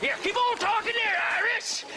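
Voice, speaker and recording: A man's voice: very high-pitched, normal speed, noisy background. A man playing a vicious, taunting outlaw thug in a video game cutscene, threatening in manner. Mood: gloating, threatening.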